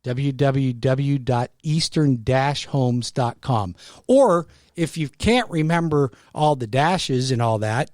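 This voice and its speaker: A man in his sixties hosting a radio talk show, pitching real estate with emphatic, repetitive salesmanship. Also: darkish tone, dry recording, studio quality. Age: 60s.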